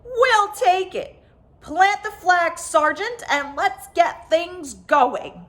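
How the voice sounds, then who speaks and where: Woman voicing a high-pitched, bossy tiny alien chief. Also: animated, extremely slow, a bit bright, heavily acted. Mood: pleased, commanding. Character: brisk, bossy alien world chief, tiny and self-satisfied.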